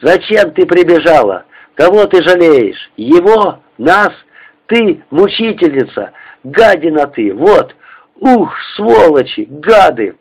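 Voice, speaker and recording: A man voicing a frenzied young soldier, ranting hoarsely in short, furious bursts in a war story. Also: fairly high pitch, big pitch swings, slow pace, pitched above the performer's own voice, full character voice, poor audio. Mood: raging accusation. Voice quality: hoarse shouting. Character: frenzied young male soldier, raging and accusing.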